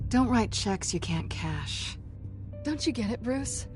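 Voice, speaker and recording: A woman's voice: on the high side, very animated, slow, darkish tone, faint music behind. A woman playing a guarded, worldly woman on the run in a video game, wry and cool. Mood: frustrated.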